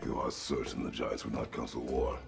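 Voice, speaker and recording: A man playing a stern, guarded warrior father in a video game, with terse formal speech and a deep gravelly voice. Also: level tone, a little fast, soft background music, exaggerated voice. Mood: wary.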